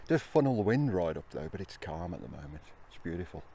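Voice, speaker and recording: A man's voice: low-pitched, very animated, fast, gravelly, mellow, a little hiss or hum. A middle-aged man vlogging on a hillside hike, talking casually to a handheld camera with an upbeat, chatty manner. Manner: chatty, upbeat, laughs between remarks. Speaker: hiking vlogger. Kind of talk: casual vlog narration. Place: outdoors on open fell hillside. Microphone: handheld camera at arm's length.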